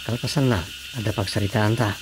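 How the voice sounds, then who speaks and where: A man's voice: fairly low pitch, steady tone, fairly quick, noisy background, close to an everyday voice. An actor playing a chatty village man in a radio comedy drama, conversational and confiding.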